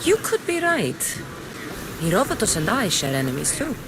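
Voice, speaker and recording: Animated warrior woman. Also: medium pitch, moderate pace, noisy background. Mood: guarded, frank. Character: young woman mercenary warrior, wry and determined. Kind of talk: video game cutscene acting.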